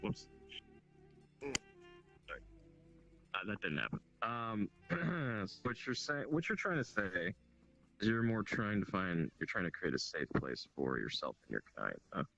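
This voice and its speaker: Gravelly tired guardian guy a man voicing him, hesitant, kinda muffled mic. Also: on the low side, very animated, measured pace, bright, forceful tone, faint music behind, heavily acted. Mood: wary. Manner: hesitant, sighing, apologetic. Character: weary male guardian of the humans. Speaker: roleplay player. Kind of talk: in-character roleplay dialogue. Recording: dull lo-fi mic.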